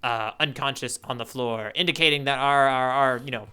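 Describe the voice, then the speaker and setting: Bright, clear-voiced man chatting casually. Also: mid-range voice, a little fast. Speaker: podcast co-host. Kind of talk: casual manga discussion.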